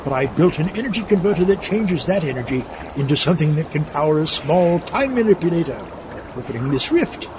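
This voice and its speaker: An actor playing a callous, conniving mad inventor in a scripted comic audio skit, matter-of-fact and sarcastic. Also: male voice, on the high side, big pitch swings, a little fast, lo-fi sound, background noise.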